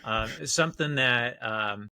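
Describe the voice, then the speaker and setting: Casual man with a creaky, gravelly voice. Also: medium pitch, unhurried, sharp tone, no echo. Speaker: doctor co-hosting a health show. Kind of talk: casual health discussion.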